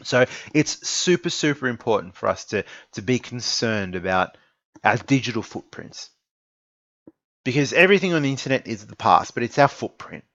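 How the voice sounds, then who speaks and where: A man's voice: medium pitch, animated, moderate pace, a bit bright, no room sound, lo-fi sound. An Australian man of twenty-nine giving an informal opinion monologue, earnest and emphatic, repeating phrases for stress. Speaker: esports content creator giving an opinion piece.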